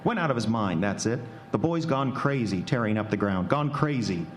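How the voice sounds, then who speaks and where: An actor playing a selfish, irritable middle-aged scientist husband in old-time radio horror style, impatient and scornful. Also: male voice, fairly low pitch, expressive, moderate pace, lightly acted. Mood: irritated, blustering. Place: live stage before an audience. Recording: noisy live stage recording.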